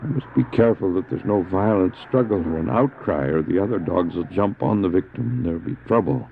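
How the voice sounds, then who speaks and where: A man's voice: low voice, creaky, phone-quality sound, a little hiss or hum. A man acting a stranded, devout doctor and dog sledder in an audio drama, earnest and theatrical, anguished but resolute, on an old cassette recording. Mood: anguished, reluctant. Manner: earnest, theatrical, halting when speaking in character. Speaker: narrator and lead actor. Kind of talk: dramatized first-person adventure narration, audio drama.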